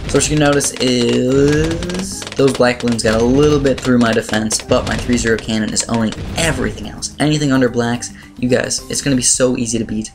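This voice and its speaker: Slightly raspy man commentating casually over music. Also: mid-range voice, fairly quick, few pauses. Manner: rambling, informal strategy explaining. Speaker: gaming video host. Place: voice-over on screen-recorded gameplay.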